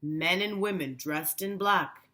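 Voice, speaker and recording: A sad elderly storybook grandfather, acted by a woman reading aloud. The delivery is slow and subdued, with gentle sorrow in a fairly low pitch. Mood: pained, sombre.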